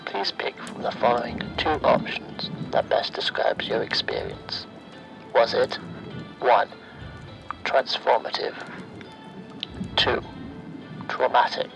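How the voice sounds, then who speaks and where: A man playing a sinister automated phone survey voice in a horror ASMR roleplay, breathy and often near-whispered, speaking in measured, formulaic announcements. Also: mid-range voice, huge pitch swings, music in the background, heavily acted, low-quality recording. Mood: eerily polite.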